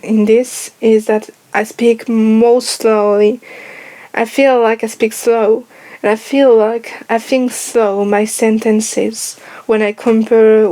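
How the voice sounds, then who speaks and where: A young woman with a French accent talks to her camera in English, which is not her first language, so she picks her words with care and often pauses to find them. Her voice sits fairly high and stays level, with little rise and fall. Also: warm tone, poor audio. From France. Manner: careful, hesitant, often searching for words. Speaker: vlogger. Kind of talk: casual personal vlog. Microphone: headphones on, speaking to camera.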